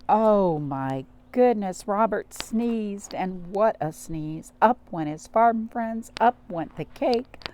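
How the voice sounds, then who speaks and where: Woman, storytime reader, slow pace, very varied pitch, simple questions to little kids. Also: lively intonation, warm tone, a little hiss or hum. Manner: addresses young children with simple questions. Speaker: library storytime host and reader. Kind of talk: children's storytime, chatting and reading aloud. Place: at home, in a cottage.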